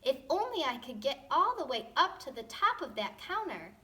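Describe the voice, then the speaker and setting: A woman voicing a headstrong, greedy little girl from a storybook, with exaggerated, drawn-out delivery. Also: sits high, sing-song, bright, forceful tone, voice pushed up, heavily acted. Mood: greedy longing.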